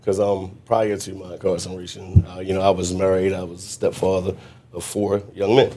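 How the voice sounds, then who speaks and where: A man being interviewed as a mentor, talking in an earnest, reflective way with frequent restarts and a naturally loud voice. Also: sits low, level tone, moderate pace. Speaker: guest mentor. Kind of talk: conversational interview.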